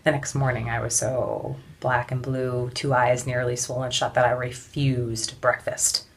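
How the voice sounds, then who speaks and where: An actress playing a weary, defiant suffragette in an intense, deliberate theatrical monologue with heavy pauses. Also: female voice, extremely low pitch, level tone. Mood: haunted, bitter. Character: weary, defiant suffragette woman recalling hunger and beatings.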